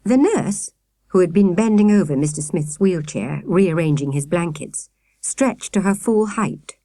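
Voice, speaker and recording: A woman reading a children's audiobook in an expressive storytelling manner. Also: on the low side, unhurried, dry recording, studio quality. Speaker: audiobook narrator. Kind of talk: scripted children's story narration.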